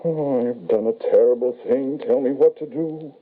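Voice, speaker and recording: An actor playing an anguished, grieving father who narrates his tale solemnly and haltingly in an old-time radio horror drama. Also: medium pitch, a little hiss or hum, natural voice. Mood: anguished pleading. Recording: muffled, band-limited old radio recording.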